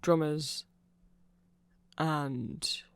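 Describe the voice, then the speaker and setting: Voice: mid-range voice, steady tone, drawn-out words, gravelly, clean tone, dry recording. Rambling, playful ASMR explainer with a dry close sound. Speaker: ASMR creator explaining band lore.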